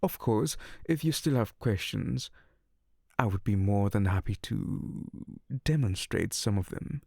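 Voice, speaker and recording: A man's voice: fairly low pitch, animated, measured pace, creaky, warm tone, no room sound, heavily acted. A man voicing a seductive, teasing male incubus in an ASMR roleplay, close on the mic.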